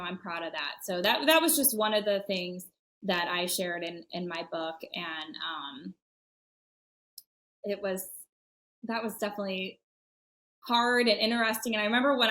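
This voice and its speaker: Mid-pitched woman, talkative guest sharing stories. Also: normal speed, fairly bright tone. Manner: chatty, many fillers, laughs often. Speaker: podcast guest, real estate expert. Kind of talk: casual podcast conversation.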